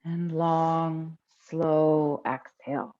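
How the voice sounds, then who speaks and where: A woman teaching a guided breathing meditation in a calm, unhurried way with long pauses between instructions. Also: deep voice, muffled recording.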